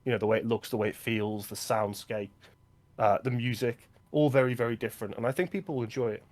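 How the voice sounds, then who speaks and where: A man's voice: medium pitch, fast talker, darkish tone. A man co-hosting a film podcast, talking casually in an easygoing, agreeable way with filler words.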